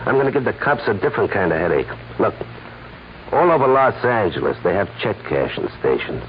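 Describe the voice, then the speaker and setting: A man's voice: fairly low pitch, big pitch swings, a little fast. An actor playing a sly, smug holdup man with coy hesitations and chuckles in a 1940s radio crime drama. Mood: scheming. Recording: muffled, hissy 1940s radio recording.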